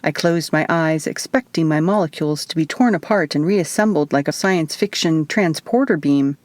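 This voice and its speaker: A woman's voice: sits low, moderate pace, dry recording. A woman narrating first-person fiction as an audiobook reader, in a scripted, storytelling style.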